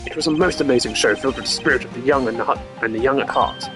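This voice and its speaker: A man's voice: medium pitch, fast, over music, natural voice. A man voicing a smug, haughty old ambassador under suspicion in a video game, pompous and condescending. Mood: self-satisfied.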